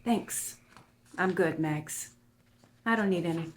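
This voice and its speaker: A low, slow female voice from an actress at a table read plays a weary woman. She speaks softly and breathily. Faint clicks sound in the background. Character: weary, soft-spoken woman, Gretchen. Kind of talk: screenplay table reading.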